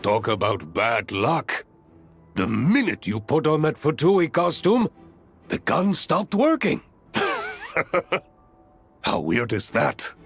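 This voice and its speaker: A playful, boisterous adventurer dad in an anime game. His voice swoops dramatically and has a gravelly creak, with theatrical bravado and slangy phrasing over faint music. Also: medium pitch, very animated, takes it slowly, long pauses, exaggerated voice. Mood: joking, rueful.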